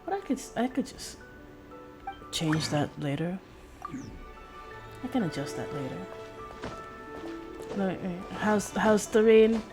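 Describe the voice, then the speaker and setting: A woman's voice: mid-range voice, expressive, measured pace, long pauses. A woman game streamer chatting casually to her viewers during a livestream. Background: music.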